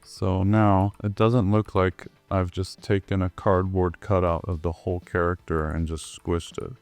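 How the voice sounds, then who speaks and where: Hoarse male tutor, low and unhurried, easygoing, with filler words. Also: no room sound, faint music behind. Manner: relaxed, with many ums and uhs. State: hoarse, recovering from flu. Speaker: animator. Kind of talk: screen-recorded tutorial walkthrough.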